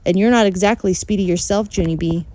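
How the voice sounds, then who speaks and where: Storybook kindergarten girl, acted by a woman: self-assured, bragging, blunt about facts, mellow tone. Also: medium pitch, quick pace, dry recording, close to an everyday voice. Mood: defensive boasting. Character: boastful, matter-of-fact little kindergarten girl.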